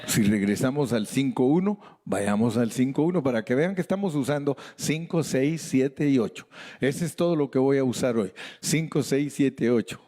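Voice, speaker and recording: A man preaching a sermon in Spanish as pastor, animated with playful asides, occasionally switching into English as a non-native speaker. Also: mid-range voice, normal speed. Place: church sanctuary.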